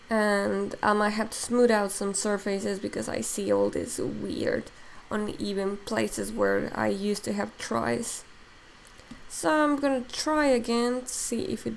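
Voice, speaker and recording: A woman's voice: medium pitch, gravelly, a little hiss or hum, rough recording. A woman from Mexico, a Spanish speaker talking in English, casually thinking aloud as she demos digital sculpting on a live stream. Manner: thinks aloud while working, many fillers.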